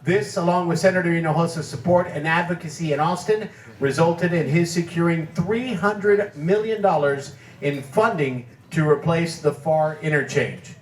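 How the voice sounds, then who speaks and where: A man presenting an award, reading a prepared speech full of facts and figures in a measured way. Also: on the high side. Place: banquet hall. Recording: echoey hall, distant mic.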